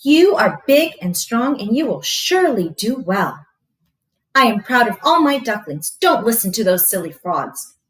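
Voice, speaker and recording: A woman's voice: on the high side, sing-song, unhurried. A woman voicing a loving, reassuring mother duck in a children's storybook.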